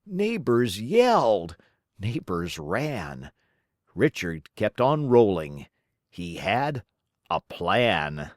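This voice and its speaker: Children's read-aloud narrator, female, theatrical and rhythmic, very unhurried, lively pitch swings, dry recording. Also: no echo. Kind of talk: expressive children's storybook narration.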